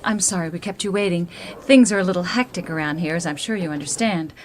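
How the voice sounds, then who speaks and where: A woman's voice: fairly low pitch, quick pace, slight background noise, lightly acted. A woman playing a polite, efficient bookkeeper and loyal boss's wife in a radio drama, courteous and precise. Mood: courteous, composed.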